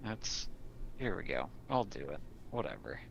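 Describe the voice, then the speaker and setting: A man's voice: low voice, big pitch swings, measured pace, vocal fry, a bit bright, a little hiss or hum. A man chatting casually as a player in a tabletop roleplaying game, with a wry, self-deprecating, sweary way of talking.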